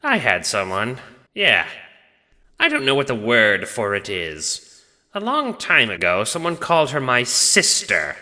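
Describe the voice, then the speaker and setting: A man's voice: medium pitch, wildly swooping pitch, measured pace, sharp tone, in a large hall, voice pushed up, exaggerated voice. A man voicing Death, a big, dim, blunt god with a slangy, casually profane way of talking, in an audio drama. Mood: wistful, puzzled. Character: Death, big lug god of double death, foul-mouthed, dim, blunt, bitter.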